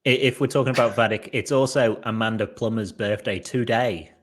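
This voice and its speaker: A young man co-hosting a casual podcast chat, rambling along with fillers and quick jokes. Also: on the low side, steady tone. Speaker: podcast co-host. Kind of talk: casual chat reviewing a TV episode.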